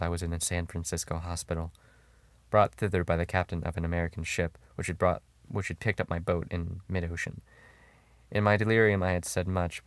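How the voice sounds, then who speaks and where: A man playing a broken, panic-stricken sailor, telling a horror story in a theatrical, halting way with stumbled words. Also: deep voice, clean tone, dry recording, lightly acted. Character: broken, panic-stricken male sailor confessing before death. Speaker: audiobook narrator. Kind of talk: dramatic first-person horror story narration. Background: droning guitar music.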